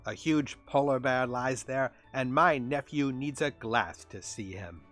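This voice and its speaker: A man voicing a cranky, scornful old reindeer uncle in a croaky, grumbling, huffy way for a children's storybook. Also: mid-range voice, a little slow, fairly bright tone, faint music behind, lightly acted. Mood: grumpy, sarcastic.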